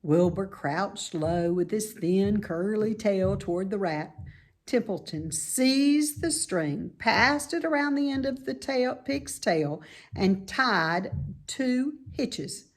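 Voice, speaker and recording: Slow, expressive woman reading a children's story. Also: medium pitch. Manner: expressive, deliberate read-aloud. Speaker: storybook reader. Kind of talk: scripted narration of a children's novel.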